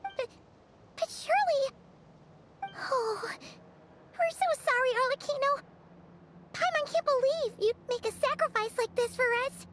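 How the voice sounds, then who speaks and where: A woman voicing a small, excitable childlike fairy companion in a video game, with a squeaky voice and an exclamatory, easily alarmed manner. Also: a little slow, pauses often, slight background noise. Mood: worried. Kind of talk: animated video game voice acting.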